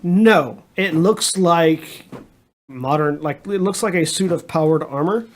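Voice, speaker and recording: A man runs a tabletop roleplaying session with a slightly high voice, describing scenes haltingly and voicing little clangs and whooshes himself. he narrates casually to his players. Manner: mouths sound effects, halting descriptions. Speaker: game master.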